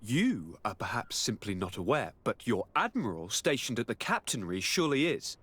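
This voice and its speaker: A man playing a commanding young noble ruler in a video game, with a lively, lordly manner. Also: medium pitch, moderate pace, husky. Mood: shrewd. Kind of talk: video game cutscene acting.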